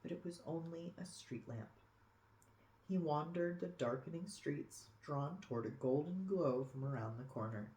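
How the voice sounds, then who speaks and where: A storyteller reading a picture book aloud expressively for children, with dramatic pauses and cooing asides. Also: mid-range voice, a little mellow. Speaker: storybook reader. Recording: echoey room.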